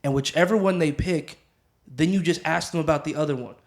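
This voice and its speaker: Higher-voiced man, playful podcast guest. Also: brisk. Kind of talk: casual podcast conversation, practical advice.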